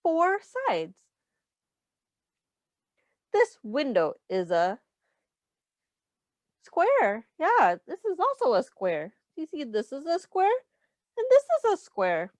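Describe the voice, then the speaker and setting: A woman's voice: high voice, huge pitch swings, slow, long pauses, a little mellow, no room sound. A woman reading a picture book aloud as a teacher, explaining simply to young children and asking them questions. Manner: simple instructive phrasing aimed at young children.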